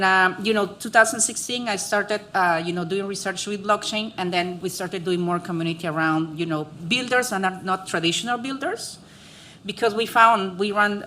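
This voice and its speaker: A woman's voice: mid-range voice, sharp tone, a little hiss or hum. A woman, speaking on a panel with many fillers and restarts. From Peru. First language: Spanish. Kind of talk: conversational panel talk.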